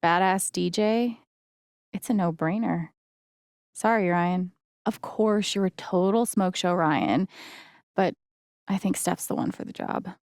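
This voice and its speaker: An actress playing a friendly, wry and earnest young woman in a video game, talking in a casual, gently teasing way. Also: on the low side, measured pace, pauses often, no echo, studio quality. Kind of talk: naturalistic video game dialogue acting.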